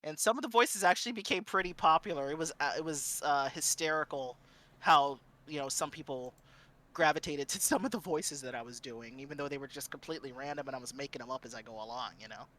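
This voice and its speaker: A woman's voice: low voice, fast, a bit bright. A woman chatting casually as a guest streamer, often repeating herself as she starts her sentences. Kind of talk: casual panel chat.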